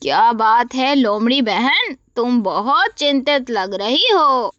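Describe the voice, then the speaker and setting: An earnest, loyal cartoon elephant, put on by a woman with her pitch dropped. The delivery is firm, plain-spoken and unhurried. The sound is slightly lo-fi. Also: animated, a bit bright, no echo. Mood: questioning. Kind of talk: animated moral story acting.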